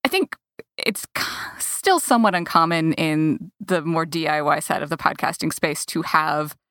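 A woman's voice: sits low, expressive, moderate pace, a bit bright, no room sound, clean studio sound. A woman interviewer putting questions in a casual, conversational style.

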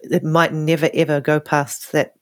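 A woman, a guest answering interview questions thoughtfully, with frequent pauses and restarts. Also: low voice, level tone, no echo. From New Zealand. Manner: thoughtful, many restarts and fillers. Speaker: guest, author and poet. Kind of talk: hesitant conversational interview.

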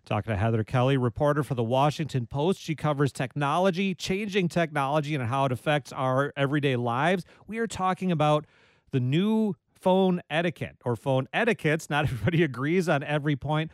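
A man's voice: fairly high pitch, a little fast, no room sound. A man hosting a public radio call-in show, chatting in a jokey, easygoing way from a studio. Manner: jokey, conversational, self-deprecating. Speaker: radio talk show host. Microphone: studio microphone.

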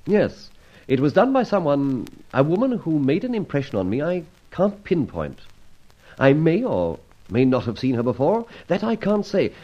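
An actor playing a suave, self-amused gentleman adventurer in an old radio serial, speaking in an arch, playfully pompous, theatrical way. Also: on the high side, big pitch swings, moderate pace. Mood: earnest, guarded. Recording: dull lo-fi old radio recording, faint music.

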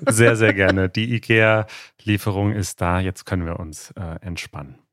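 A man, co-hosting a casual podcast chat in a relaxed, friendly way and laughing easily. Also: sits low, unhurried, dry recording, studio quality. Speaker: podcast co-host. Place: new office.

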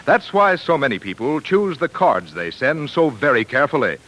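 A man working as a 1940s radio announcer, reading scripted advertising narration in a polished, measured way. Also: medium pitch, big pitch swings, fairly bright tone, lo-fi sound.

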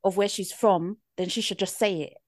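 A young woman with a British accent in casual podcast chat, earnest and animated, often checking "do you know what I mean". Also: medium pitch, normal speed, no echo, clean studio sound.

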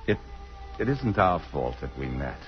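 A man's voice: a bass voice, big pitch swings, moderate pace, faint music behind. An actor in an old-time American radio drama, playing a restless middle-aged married businessman who is earnestly in love. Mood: earnest, troubled. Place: radio theatre stage. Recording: muffled, hissy vintage radio recording.

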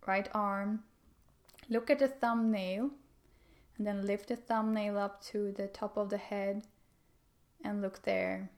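Female meditation guide, mid-pitched and level, gentle, unhurried instruction with room to breathe. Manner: calm, gentle, unhurried with pauses.

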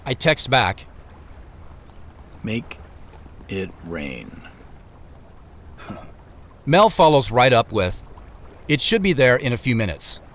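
A man's voice: mid-range voice, very animated, slow pace, long pauses, fairly bright tone. An actor playing a wry, beleaguered office man on the run, narrating his own audio drama in the first person with understated, muttered asides. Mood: muttered, dry. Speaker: actor narrating as main character.

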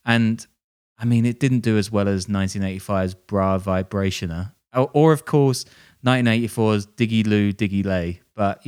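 A man's voice: lowish voice, smooth. A man hosting a podcast, telling a crime story in a jokey, self-deprecating way and laughing as he goes. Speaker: podcast host. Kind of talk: casual storytelling chat.

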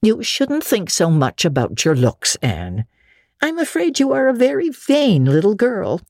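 A woman voicing a stern, practical older spinster in a storybook, brisk and curt as she moralises. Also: mid-range voice, wildly swooping pitch, darkish tone, no room sound, studio quality, pitched above the performer's own voice, exaggerated voice.

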